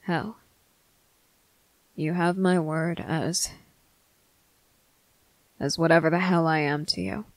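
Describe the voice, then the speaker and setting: A performer plays a male vampire captain, stern yet quietly tender. The voice is slow and creaky, recorded very dry and intimate for ASMR roleplay. Phrases come clipped and deliberate, set apart by pointed pauses. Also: mid-range voice, fairly even intonation, dry recording, poor audio. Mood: stern, reassuring. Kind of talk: ASMR roleplay addressed to the listener.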